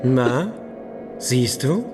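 Coaxing, mocking tempter, wildly swooping voice. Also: male voice, mid-range voice, expressive, drawn-out words, music in the background. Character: teasing, sinister young male tempter in a dream. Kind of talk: German radio play acting.

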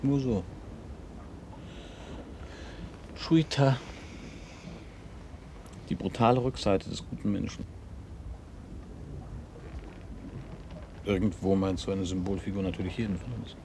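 A man's voice: on the low side, normal speed, pauses often, dark tone, a little hiss or hum, lightly acted. An actor in a German radio drama playing a nostalgic, ironic man who needles his old friend with musing questions. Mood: ironic needling.

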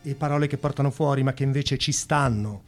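A woman's voice: very deep voice, brisk, a little mellow, faint music behind. A woman performing a scripted theatrical recitation in short phrases. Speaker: performer in a spoken theatre piece.

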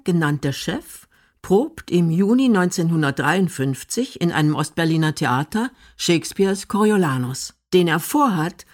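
A woman reading scripted narration for a radio documentary. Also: lowish voice.

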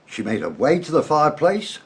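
An actor playing a dutiful British police chief inspector, giving his account in a formal, official manner with a husky voice in a radio drama. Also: a little high, huge pitch swings, exaggerated voice. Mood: formal, reporting. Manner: official, measured report-giving.

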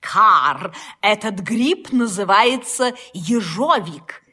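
An actress playing a bossy, knowing grey crow that caws, in a children's audio play. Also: female voice, medium pitch, expressive, drawn-out words, bright, forceful tone, breathy edge, full character voice.

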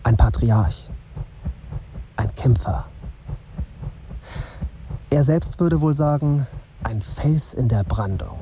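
A man's voice: mid-range voice, slow, long pauses, warm tone. A dull, slightly noisy recording carries a young journalist's interior monologue. He observes in short fragments, introspective and detached, as a brooding radio drama narrator. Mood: wry, observing.

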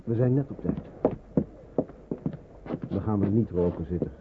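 On a thin, noisy old radio recording, a low-pitched man played by an actor speaks as a protective wartime escort. He is calm and discreet, giving brisk instructions and gentle reassurance over a rumble of travel. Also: narrow, tinny audio, natural voice. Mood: reassuring, brisk. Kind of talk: radio play acting.

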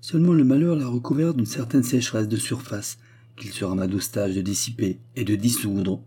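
A man's voice: mid-range voice, moderate pace, warm tone, slightly rough. A man voicing a kind, idealistic young lady hostess in an audiobook, speaking politely and with effusive, earnest concern. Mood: musing.

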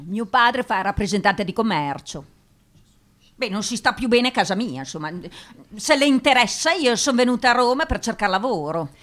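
A woman's voice: on the high side, animated, normal speed, fairly bright tone. An actress playing a shy, pious eighteen-year-old provincial girl with a hesitant, stammering, naive way of talking in a radio drama. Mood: guarded, shy. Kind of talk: radio drama interview monologue.

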